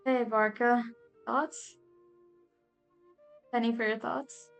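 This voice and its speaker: A woman's voice: on the high side, flat delivery, slow, creaky, smooth, soft background music. A woman gaming creator casually reacting to a playthrough and reading bits of dialogue aloud.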